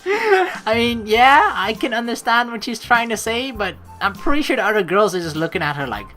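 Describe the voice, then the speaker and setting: A woman's voice: a little high, big pitch swings, moderate pace, fairly bright tone, soft background music. A young woman doing casual, sweary let's play commentary and reading a visual novel's narration aloud. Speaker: let's player.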